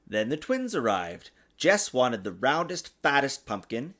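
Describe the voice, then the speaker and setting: A man reading a children's storybook aloud in an expressive, sing-song way, as a father does for his young child. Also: medium pitch, measured pace. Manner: patiently answers child's interruptions. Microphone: desktop USB microphone.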